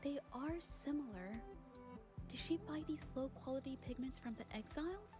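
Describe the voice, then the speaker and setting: High-voiced earnest young investigator played by an actress. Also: female voice, normal speed, close to an everyday voice. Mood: thoughtful, earnest. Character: earnest, observant young female investigator in a game. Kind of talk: scripted video game voice acting. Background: game music.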